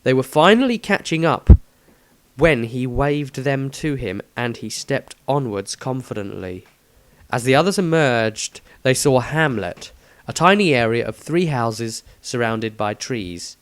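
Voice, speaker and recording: A man's voice: medium pitch, lively intonation, a little slow, dry recording. A man reading a scripted fantasy story as its narrator. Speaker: author and narrator.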